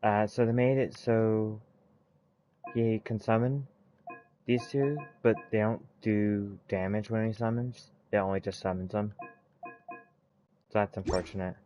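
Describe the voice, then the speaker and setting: A man giving casual gameplay commentary, haltingly thinking aloud as he goes. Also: on the low side, steady tone, mellow, soft background music. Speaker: gaming video creator. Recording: clear background noise, mouse clicks. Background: noise and clicks.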